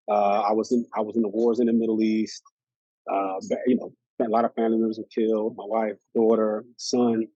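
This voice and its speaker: Philly guy rambling through his story, lots of ums, mellow tone, muffled lo-fi sound. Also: on the low side, dry recording. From Philadelphia, USA. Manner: rambling, many fillers and restarts. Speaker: guest. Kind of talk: casual personal story. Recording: muffled lo-fi audio.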